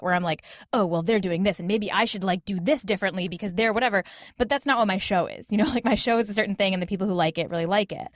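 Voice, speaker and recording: A woman's voice: mid-range voice, no room sound. Chatty woman, close dry sound. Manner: chatty, informal, emphatic. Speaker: podcast host as guest. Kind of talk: casual conversational panel talk. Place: small closet at home.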